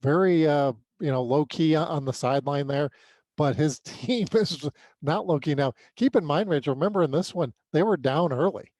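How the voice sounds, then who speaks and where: A man's voice: fairly high pitch, normal speed, darkish tone, dry recording. Man recapping sports, close dry sound. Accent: American. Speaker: sports podcast co-host. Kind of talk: casual sports recap commentary.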